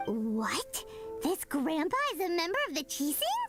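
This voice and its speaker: A very high, squeaky, childlike little female companion creature from a video game, her voice leaping wildly up and down. She talks in an eager, pushy way and fires off blunt questions. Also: big pitch swings, a little slow, music in the background. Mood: astonished. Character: small floating female companion creature, excitable and chatty.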